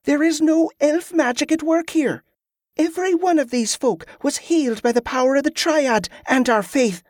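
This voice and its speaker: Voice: high voice, normal speed, a little mellow, no room sound, studio quality, pitched above the performer's own voice, natural voice. A performer voicing a defiant, earnest young farm girl in an audiobook, with fervent rustic phrasing. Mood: indignant.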